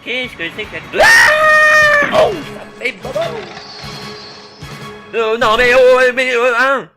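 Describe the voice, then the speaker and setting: A man's voice: extremely high pitch, very animated, slow pace, raised voice, exaggerated voice. A man playing a gleeful, vain villain knight in a comic anime parody dub, pompous and mock-dramatic. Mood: indignant. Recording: faint music behind the voice.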